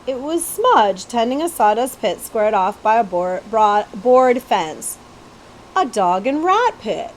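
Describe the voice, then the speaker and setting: A woman reads a children's storybook aloud. Her voice is fairly high and her narration expressive. A light layer of ambient noise lies behind it. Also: slow pace, poor audio. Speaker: librarian reading aloud. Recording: faint background ambient noise.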